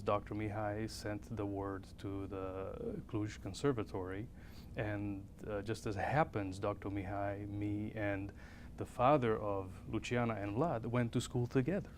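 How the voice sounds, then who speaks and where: A man's voice: lowish voice, darkish tone, slight background noise. A man answering interview questions as a viola professor and coach.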